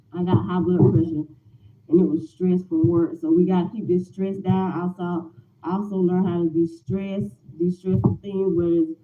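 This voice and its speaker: Forties woman vlogger, chatty and meandering; recorded on a tinny line in a roomy, noisy space. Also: fairly low pitch. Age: 40s. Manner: rambling, repeats herself, frequent "um" fillers. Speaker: lifestyle vlogger. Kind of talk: casual morning chat to viewers.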